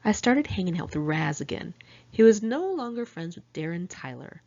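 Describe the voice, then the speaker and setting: A woman's voice: on the low side, big pitch swings, moderate pace, darkish tone, highs cut off. A middle-aged woman narrates her own memoir in the first person, candid and wry with sardonic asides. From California, United States. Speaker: author reading own memoir. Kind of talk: first-person audiobook narration, diary storytelling.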